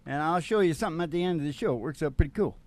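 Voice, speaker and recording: A man's voice: on the high side, very fast, darkish tone. A man co-hosting a radio show in casual comedic chat, rambling and opinionated in a folksy way, with acoustic guitar. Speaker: singing radio show co-host. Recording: guitar playing behind the voice.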